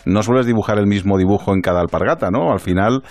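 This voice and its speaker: A man's voice: on the low side, fast talker, a little mellow. A man with an accent from Spain hosting a radio interview in a chatty, anecdotal, conversational way. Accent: Spanish, from Spain. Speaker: radio show host.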